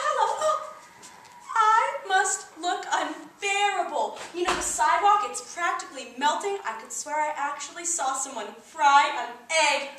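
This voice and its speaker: A flustered, gushing society mother in a comic stage piece. Her very high voice swoops about with theatrical, flirtatious effusiveness. It rings in a very echoey hall. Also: sharp tone, slight background noise, exaggerated voice. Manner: theatrical, breezy, effusive chatter. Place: indoor performance space, recorded from afar. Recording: very echoey hall, distant mic.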